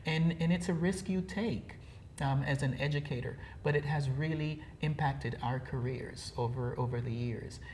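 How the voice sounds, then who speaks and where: A middle-aged man from Costa Rica with a slight Costa Rican Spanish accent, explaining things in a measured way with frequent fillers as a guest on a TV interview. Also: medium pitch, fairly even intonation, darkish tone, slight background noise. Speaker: program director guest.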